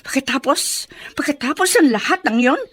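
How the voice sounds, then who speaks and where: A Filipino actress playing a wealthy, wronged and remorseful matron in a radio drama, with emphatic delivery. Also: female voice, high voice, lively intonation, moderate pace, fairly bright tone. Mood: scornful fury. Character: wealthy matronly woman, wronged, fierce yet deeply remorseful.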